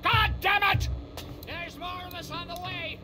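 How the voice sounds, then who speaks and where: A man's voice: extremely high pitch, a little slow, over loud music. An actor playing a huge, belligerent old father in a zombie video game, bellowing profane threats. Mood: raging. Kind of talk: dramatic video game voice acting.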